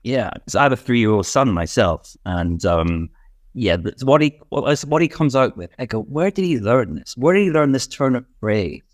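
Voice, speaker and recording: A man's voice: mid-range voice, animated, normal speed, no room sound, clean studio sound. A man interviewing a guest, eagerly stacking several questions at once.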